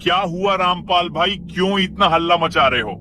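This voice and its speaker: A man voicing a brisk, skeptical village man in a cartoon story. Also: high voice, pushed and bright, slight background noise.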